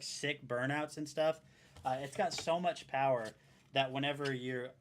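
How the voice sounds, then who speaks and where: A man demonstrates a gadget with eager, repetitive enthusiasm, circling back to the same points as he sells it. A faint hiss sits behind his voice. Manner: excited, repetitive pitching. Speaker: product reviewer. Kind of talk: enthusiastic product demo.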